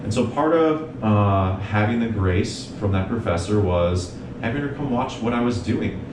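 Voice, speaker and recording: A young man, a mental health provider, telling his story in an earnest, measured way as an interview guest. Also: lively intonation. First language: English. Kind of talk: reflective interview talk. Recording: echoey room, distant mic, noisy rumble.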